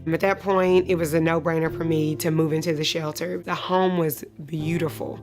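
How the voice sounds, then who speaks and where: A woman of thirty-five giving a personal testimony in an interview, speaking in a measured way with pauses. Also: sits low, flat delivery. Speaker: client of a shelter charity telling her story. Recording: music playing behind the voice. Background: instrumental music.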